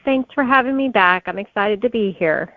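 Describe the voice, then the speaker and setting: A woman's voice: mid-range voice, normal speed, dry recording, narrow, tinny audio. Woman author guest, dry mid-range voice. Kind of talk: conversational interview answers.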